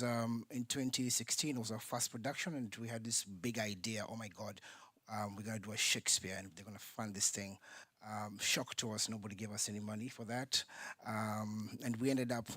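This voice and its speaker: A man from Kenya, a theatre company founder telling his story on a conference panel, lively and full of ums. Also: medium pitch, normal speed, husky, no room sound. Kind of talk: panel talk, personal story. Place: conference centre.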